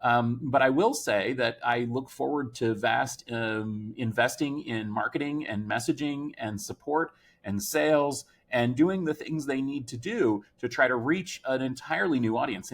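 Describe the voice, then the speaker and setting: Male analyst, gravelly vocal fry, off-the-cuff commentary, halting delivery with frequent pauses. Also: medium pitch. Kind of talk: unscripted commentary.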